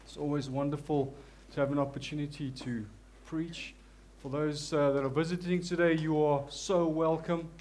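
A man with a South African accent preaching a church sermon, earnest and humble, with frequent restarts and softer, slower prayer passages. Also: on the high side, flat delivery, a little hiss or hum. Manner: prays softly and slowly. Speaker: lay preacher.

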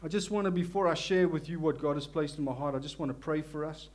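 A man with a South African accent preaching a church sermon, earnest and humble, with frequent restarts and softer, slower prayer passages. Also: on the high side. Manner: prays softly and slowly. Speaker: lay preacher.

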